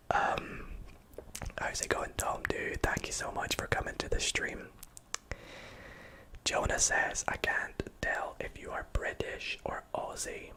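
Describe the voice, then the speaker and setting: A person with a Scottish accent whispering softly into a close microphone while answering chat questions on a livestream, with frequent ums. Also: poor audio. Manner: soft whisper, reading chat aloud with many fillers. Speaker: ASMR streamer.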